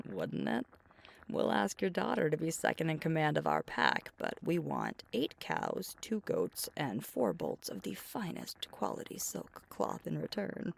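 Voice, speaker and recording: A woman playing a sweet, thoughtful alpha werewolf girlfriend in an intimate, soft-spoken ASMR roleplay, often breathy and musing. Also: low voice, full character voice. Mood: playful, wry. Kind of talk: ASMR roleplay, intimate one-sided conversation.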